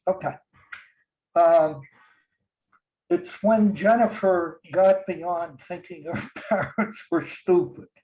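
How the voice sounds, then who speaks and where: An older man on a video call gently reminiscing about his daughter, speaking slowly with soft chuckles. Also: high-pitched, poor audio. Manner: slow, gentle, warm storytelling with soft chuckles. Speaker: guest sharing memories. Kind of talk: casual reminiscing.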